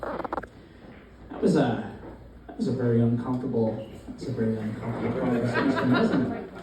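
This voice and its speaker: A young man from Newfoundland doing a first stand-up set in a deadpan, halting way, with many fillers. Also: medium pitch. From Newfoundland, Canada. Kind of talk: open-mic stand-up comedy. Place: small comedy club, in front of a live crowd. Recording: very echoey club, distant mic, crowd. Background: audience laughter.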